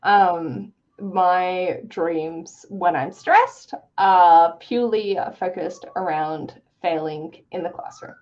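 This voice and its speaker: A woman co-hosting a livestream, chatting casually in an emphatic, expressive way. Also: lowish voice, slow pace, few pauses, dull audio. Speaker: co-host of a live reading sprint stream.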